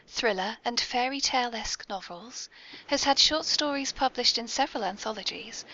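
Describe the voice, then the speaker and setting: A woman's voice: a little high, pushed and bright. An English woman hosting a whimsical podcast in a playful, polite manner, on a dull amateur recording. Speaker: podcast host.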